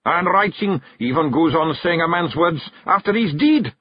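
Casual: guy doing a stubborn little scottish fairy chief, pitched way up, growly, kinda husky, flat dull sound. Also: normal speed, dry recording. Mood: grumbling. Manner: growling, blustering. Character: tiny stubborn fairy clan chief, gruff and proud.

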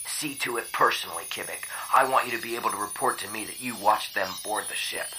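A man voicing a commanding male alien clan leader in an audiobook, speaking with deliberate authority. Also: on the low side, sharp tone, a little hiss or hum, rough recording. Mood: stern, commanding. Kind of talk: dramatized audiobook narration.

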